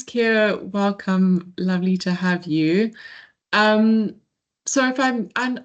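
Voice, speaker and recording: A woman, a researcher answering questions on a video call, thoughtful and hedging. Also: on the low side. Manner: thoughtful, hedging, many fillers. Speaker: research presenter.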